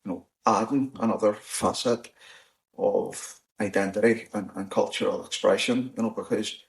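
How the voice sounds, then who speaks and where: A Northern Irish man hosting a podcast, talking in a measured way with frequent restarts and "you know"s. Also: lowish voice, steady tone, gravelly. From Northern Ireland. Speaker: podcast host. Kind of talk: casual discussion. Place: in-person recording on the Shankill, Belfast.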